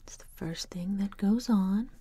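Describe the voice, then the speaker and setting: A woman in her thirties doing ASMR in a slow, soothing whisper, close to the mic. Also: medium pitch, warm tone, slightly rough, dry recording. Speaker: ASMR video creator. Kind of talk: ASMR whispering, gentle makeup roleplay.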